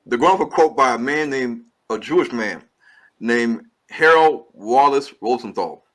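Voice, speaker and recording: A man's voice: medium pitch, animated, measured pace, fairly bright tone. A man, a filmmaker guest, giving long earnest explanations in an interview and restarting his sentences often. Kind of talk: interview answers.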